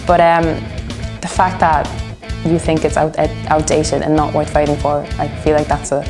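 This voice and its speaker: A young woman with a low voice speaks casually in an interview. She comes across as earnest and opinionated, and music plays clearly behind her. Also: little pitch movement, moderate pace. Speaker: music student and band musician being interviewed.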